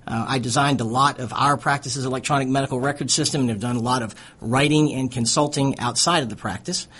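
A man's voice: medium pitch, steady tone, fast talker, a bit bright, husky. A middle-aged American man from Pittsburgh co-hosting a radio talk show, speaking fluently and articulately in a conversational style. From Pittsburgh, USA. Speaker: radio co-host, physician.

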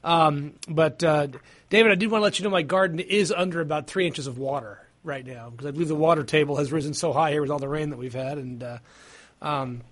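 A man with a high voice hosting a talk radio show. He stumbles along with frequent uhs and restarts. His tone stays easygoing. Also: a bit bright. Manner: halting, many fillers and restarts, easygoing.

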